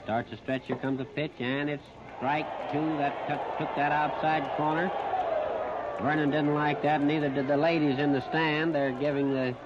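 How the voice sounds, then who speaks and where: Muffled old radio recording, clear background noise, American man, higher-pitched voice, baseball play-by-play, short clipped phrases with pauses. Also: little pitch movement, a loud crowd. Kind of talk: 1939 live radio sports commentary. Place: outdoor ballpark, broadcast position behind home plate. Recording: muffled, noisy 1930s radio disc recording.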